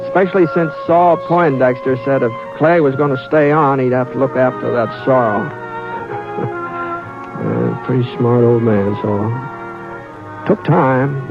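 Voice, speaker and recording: A man playing a calm steady cowboy. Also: mid-range voice, natural voice. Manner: calm, measured, reassuring. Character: calm steady cowboy, drawling frontier narrator. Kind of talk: 1950s radio drama acting. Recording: dull old radio transcription with music.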